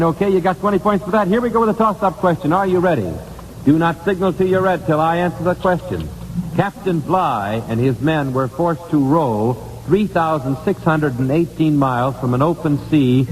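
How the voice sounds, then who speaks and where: A man's voice: a little high, long reverb tail, slight background noise, low-quality recording. An American man hosting a 1950s radio quiz show, putting questions to contestants on an old broadcast recording.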